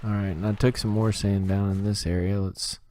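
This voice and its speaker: A man with a lowish voice, a little hoarse and scratchy, chatting casually over his gameplay. He talks himself through each task in an easygoing way, and faint clicks can be heard behind him. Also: level tone, moderate pace, a little mellow. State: slightly hoarse throat. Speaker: gaming channel host.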